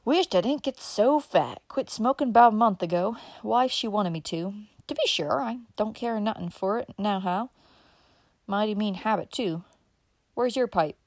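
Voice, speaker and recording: A woman reading an audiobook voices a fat, folksy old country man who talks in rural dialect, loud and excitable. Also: medium pitch, a little slow, dry recording. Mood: folksy grumbling.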